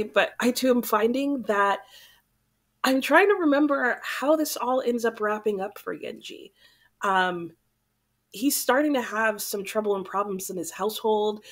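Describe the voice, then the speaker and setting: A young woman hosting her book channel, chatting casually to camera while a little sick, so her voice sounds slightly off. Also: medium pitch. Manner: chatty, relaxed, rambling.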